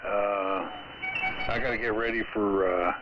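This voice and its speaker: A man chatting casually as an amateur radio operator, in a rambling, halting way. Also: fairly low pitch, fairly even intonation, over music. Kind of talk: casual ham radio chat. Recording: dull, faintly noisy amateur radio transmission.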